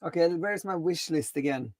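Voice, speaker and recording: A woman chatting casually as a co-host, excitable and quick to interject. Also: lowish voice, dry recording, clean studio sound. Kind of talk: casual chat.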